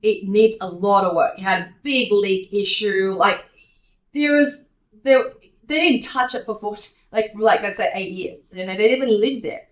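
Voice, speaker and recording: A woman's voice: medium pitch, normal speed. An Australian woman raised speaking Taiwanese, chatting as an interview guest in loose run-on sentences with lots of fillers. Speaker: guest, estate agent and DJ. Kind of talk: casual interview chat. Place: hotel room in a city. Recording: echoey room, poor mic.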